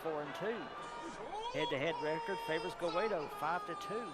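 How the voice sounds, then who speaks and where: A tired man co-commentating sumo casually, with dry, deadpan humor. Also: a little high, unhurried, very noisy.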